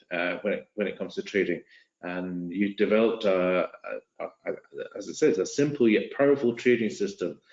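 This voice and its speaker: Hesitant webinar host in an echoey room. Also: male voice, deep voice, darkish tone. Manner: hesitant, many fillers and restarts. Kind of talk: introducing a guest on a live webinar. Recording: echoey lo-fi video call audio.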